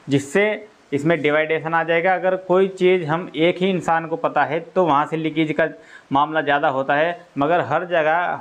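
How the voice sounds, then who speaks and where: A man with a slightly high voice talks as an exam coach. He explains things informally, point by point, and goes back over each one with frequent little checks for understanding. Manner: repetitive, explanatory, often checks with "okay".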